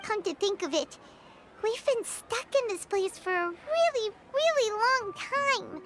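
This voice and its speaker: A woman voicing a small, excitable, childlike fairy companion in a video game, squeaky and given to exclaiming. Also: a little slow, heavily acted. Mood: wistful. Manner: exclamatory, refers to self in third person.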